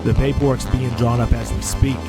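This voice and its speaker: A man plays an anxious, deferential money man in an audio drama. He speaks in a formal, hesitant, apologetic way at an ordinary male pitch. Loud plucked guitar music plays behind him. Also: fairly even intonation, a little fast, close to an everyday voice, poor audio. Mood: nervous, grave. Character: anxious, deferential male finance man reporting bad news.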